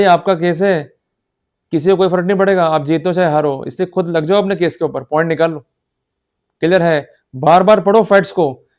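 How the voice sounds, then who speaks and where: Indian male law lecturer, slightly high voice, emphatic and exhorting teacher who keeps checking understanding. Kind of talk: instructional law lecture in Hindi-English mix.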